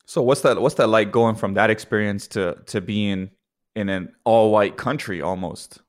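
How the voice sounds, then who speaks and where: Low-voiced man hosting a casual interview. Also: normal speed. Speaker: podcast host, interviewer.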